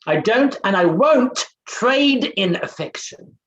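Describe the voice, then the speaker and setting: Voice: medium pitch, expressive, takes it slowly, a bit bright, dull audio. Overbearing middle-aged male professor played by an actor, stage drama, declamatory and scornful, wide swooping delivery, echoey room. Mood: scornful, indignant. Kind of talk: theatrical staged play reading. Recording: roomy, echoing sound.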